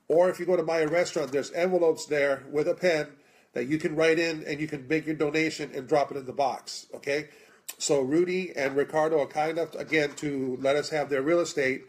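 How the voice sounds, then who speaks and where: A man in his forties with an American accent, making a casual, unscripted charity appeal in a halting, earnest way, repeating words for emphasis. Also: on the high side. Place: small indoor room. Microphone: phone at arm's length.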